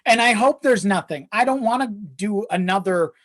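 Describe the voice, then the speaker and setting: A man's voice: high-pitched, lively intonation, moderate pace. A man chatting casually as a podcast guest, often checking in with the listener as he goes. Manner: conversational, frequent you-know check-ins.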